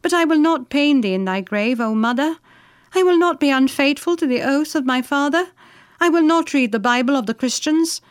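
A woman voicing a gentle, devout and dutiful servant girl in earnest, solemn, archaic storybook speech. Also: high-pitched, lively intonation, normal speed, no room sound, studio quality, pitched above the performer's own voice. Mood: fervent resolve.

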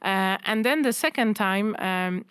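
A woman's voice: medium pitch, a little slow, fairly bright tone, smooth, no echo, studio quality. A young woman from Poland with a Polish accent, a pianist answering interview questions thoughtfully, often restarting her phrases. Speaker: concert pianist and doctoral student guest.

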